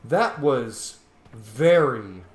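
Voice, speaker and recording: A man's voice: mid-range voice, expressive, extremely slow. A man giving casual, chatty gameplay commentary as a streamer, opinionated and free with swearing. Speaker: gaming streamer. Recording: echoey room, faint background noise.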